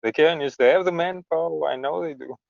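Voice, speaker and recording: A man's voice: a little high, a little fast, vocal fry, dry recording, dull audio. A man, a grieving father, speaking earnestly and pleadingly on a call. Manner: earnest, pleading, stresses his words. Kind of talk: call conversation.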